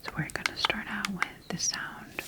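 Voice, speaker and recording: A woman voicing a methodical scientist in an ASMR sci-fi medical roleplay, speaking in a measured, formal and gently instructing way. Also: close to an everyday voice. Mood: clinical, calm. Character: clinical, methodical female scientist examining her bioengineered monster creation.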